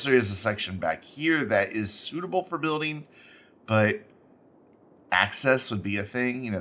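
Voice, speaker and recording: A man with a congested, stuffy voice, hosting a game channel and thinking aloud in unhurried, self-correcting let's play commentary. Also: sits low, a bit bright, slight background noise. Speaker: gaming channel host.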